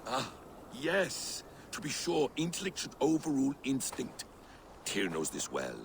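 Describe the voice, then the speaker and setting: An actor playing a sly, probing Norse trickster god in a video game, creaky-voiced and insinuating. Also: male voice, medium pitch, wildly swooping pitch, unhurried, background noise. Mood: guarded, wry. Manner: insinuating, smooth-tongued, questioning. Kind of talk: dramatic video game acting.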